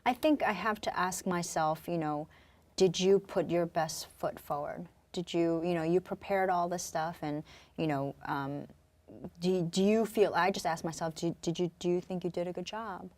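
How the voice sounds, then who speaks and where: A young woman born in Korea and raised on Long Island, a singer-songwriter talking reflectively as an interview guest, with many pauses and fillers. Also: lowish voice, a little mellow. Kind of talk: casual interview talk.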